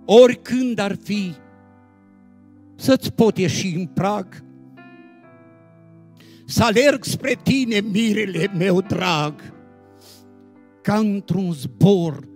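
A man reciting devotional poetry solemnly and expressively, with dramatic phrasing and deliberate pauses. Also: high-pitched, creaky, a little mellow. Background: instrumental music.

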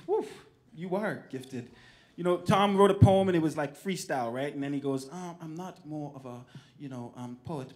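A man's voice: high-pitched, a bit bright. A man in his thirties hosting a poetry showcase live on stage, casual, slangy, playful and encouraging. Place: theatre stage before an audience. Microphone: microphone on a stand, filmed from the audience. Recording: noisy live hall recording with clicks.

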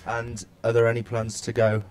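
A man's voice: medium pitch, monotone, moderate pace, darkish tone, smooth, dry recording. Hesitant man asking from the audience. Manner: hesitant, with fillers. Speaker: audience member asking a question. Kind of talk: audience question.

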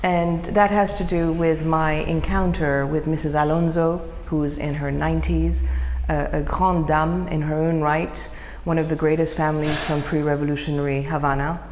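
A middle-aged woman from Iran with a low, gravelly voice talks about her work in a slow, reflective way, often pausing and restarting to find the right words. She is recorded in a roomy space with a long echo tail and a faint background hum. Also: flat delivery, a little mellow. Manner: thoughtful, searching for words, many fillers and restarts. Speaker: photographer. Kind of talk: reflective interview monologue.

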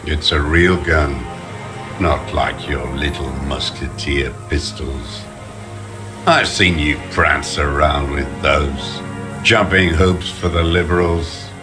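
A sickly old communist zealot from a video game, with an extremely low voice. He speaks slowly and spits out contempt, scorn and bitter accusations. Music plays clearly behind him. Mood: mocking. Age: older. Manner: scornful, ranting, spitting insults. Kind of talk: dramatic video game voice acting.

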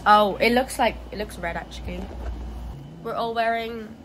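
A woman's voice: a little high, moderate pace, noisy background. A young woman chatting casually as a vlogger, talking to camera and to the people around her. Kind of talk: casual vlog chat.

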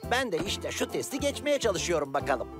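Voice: medium pitch, big pitch swings, brisk, music in the background, lightly acted. A performer voicing a cheerful, curious young car in a children's cartoon, playful, talkative and cheeky. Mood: excited, chatty. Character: cheerful, chatty, curious young red self-driving car. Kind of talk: animated children's cartoon acting.

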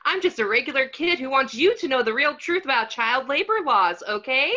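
A fake little kid acted by a woman for a comedy read, sugary and exaggeratedly childish. The voice is high and bright, sing-song, and comes over a lo-fi video call. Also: expressive, a little fast. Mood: chirpy. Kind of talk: comedic movie script table read.

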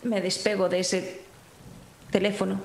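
Creaky-voiced Spanish woman, hesitant roleplayer. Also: mid-range voice, little pitch movement, measured pace, roomy sound, poor audio. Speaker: player. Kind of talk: casual tabletop roleplay, describing her character's actions.

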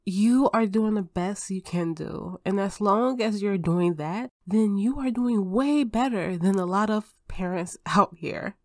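A woman playing an affectionate, reassuring spouse and step-parent in an ASMR roleplay, speaking in a gentle, soothing, tender manner. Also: mid-range voice, measured pace, hardly any pauses, dry recording, clean studio sound, natural voice. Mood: warm, encouraging.

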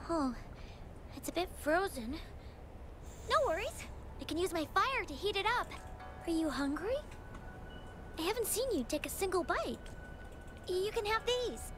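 High-pitched earnest little orphan girl. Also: a bit bright, slight background noise, heavily acted. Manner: earnest, simple childlike phrasing. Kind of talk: anime video game voice acting. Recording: game music clearly behind voice.

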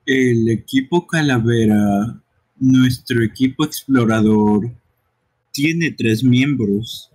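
Man voicing a smug slow-talking gas monster. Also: medium pitch, a little mellow. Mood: boastful. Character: smug bullying male poison-gas creature villain. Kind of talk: amateur live game dubbing.

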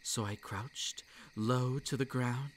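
A dark kitsune monster boy, acted by a man, tells his story slowly in an ASMR roleplay. He is obsessive and gloating, with intimate, hushed menace, over faint night insects. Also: medium pitch, fairly even intonation, slight background noise, close to an everyday voice. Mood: hungry, possessive. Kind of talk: roleplay ASMR dramatic monologue. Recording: night insect ambience behind the voice. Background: crickets and night insects.

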